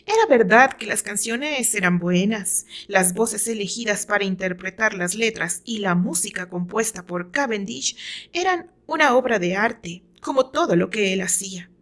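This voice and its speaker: A woman's voice: medium pitch, expressive, normal speed, a bit bright. A woman with a Mexican accent reading her own fiction aloud as dramatic scripted narration.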